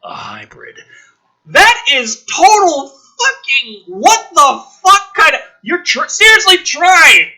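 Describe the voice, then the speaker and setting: A man doing a ranting commentary as he reads through a script, exasperated and heavily profane, breaking into shouted outbursts. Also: very high voice, big pitch swings, slow pace, few pauses. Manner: swings between muttered reading and shouted outbursts. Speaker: host of a gaming and film commentary channel.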